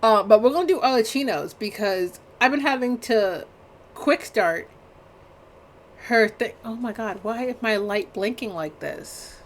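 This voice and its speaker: A woman's voice: on the high side, measured pace. A woman livestreamer giving casual gameplay commentary in a dry, offhand, rambling way.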